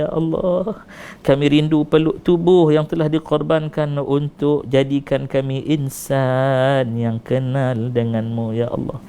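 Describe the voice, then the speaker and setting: A man's voice: a little high, creaky, a little mellow, clean tone. Malaysian man pleading in prayer. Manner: pleading, rising and falling recitation with repeated invocations. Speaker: imam leading a prayer. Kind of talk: emotional supplication prayer.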